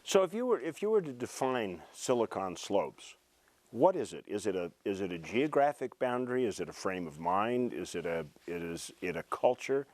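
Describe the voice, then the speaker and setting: A man's voice: fairly low pitch, big pitch swings, moderate pace, darkish tone, no echo. American male interviewer, dry studio-like sound. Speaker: podcast host, interviewer. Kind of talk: conversational interviewing.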